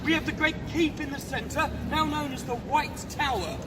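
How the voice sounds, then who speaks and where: A man's voice: extremely high pitch, moderate pace. A man playing a jovial, blustering castle guard in a live outdoor re-enactment, projecting theatrically to visitors. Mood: explaining. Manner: theatrical, declamatory, projecting to a gathering. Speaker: costumed guide performing for visitors. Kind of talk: outdoor live historical re-enactment, audience participation. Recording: outdoor crowd, noisy open reverberant space.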